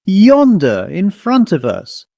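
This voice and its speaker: A man's voice: high voice, huge pitch swings, takes it slowly, vocal fry, mellow, no echo, pitched above the performer's own voice, exaggerated voice. A man voicing a jovial, confident country squire in a Victorian ghost story, with hearty, exclamatory delivery. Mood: amused, dismissive. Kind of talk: audiobook character reading.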